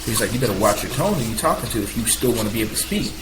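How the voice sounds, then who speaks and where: A man, a podcast guest, talking openly and passionately, his voice rising higher and louder as he gets animated and talks with his hands. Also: very fast. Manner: talks with hands, bouncing while speaking. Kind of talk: candid conversational sharing. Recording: roomy sound with clear background noise.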